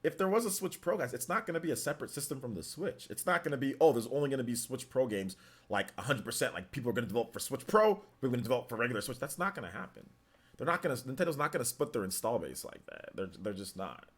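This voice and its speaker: Animated young man hosting a live stream. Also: medium pitch, quick pace, slightly rough. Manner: animated, incredulous, rambling with many restarts. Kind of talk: casual live stream chat, reading comments.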